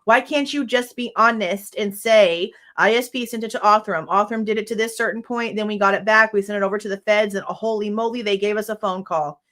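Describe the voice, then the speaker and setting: A woman's voice: mid-range voice, steady tone, fairly quick, hardly any pauses, fairly bright tone. A young woman with a stuffed-up nose, hosting a true crime livestream and reading a court brief aloud. She breaks off into blunt, exasperated remarks with mild swearing.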